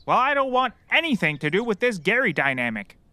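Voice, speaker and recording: Man acting clueless excitable detective, high-pitched, animated, bright, self-important, audio comedy. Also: fairly quick, no room sound, heavily acted. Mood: indignant.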